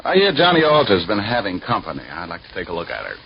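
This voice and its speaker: Laconic wisecracking American gumshoe, deep, old radio drama with heavy hiss. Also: male voice, wildly swooping pitch, fast talker, vocal fry, heavily acted. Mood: wry. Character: wry, restless, flirtatious male private eye. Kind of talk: 1940s-50s radio detective acting and narration. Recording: muffled, noisy old radio recording.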